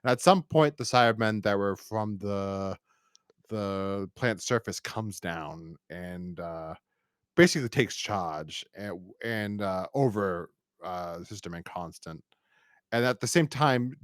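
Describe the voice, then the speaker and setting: Man, fairly low pitch, casual podcast discussion, rambling, stumbling restarts, dry recording. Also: no echo. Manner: rambling, halting with frequent false starts and repeated words. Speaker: podcast co-host. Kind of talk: casual review discussion of an audio drama.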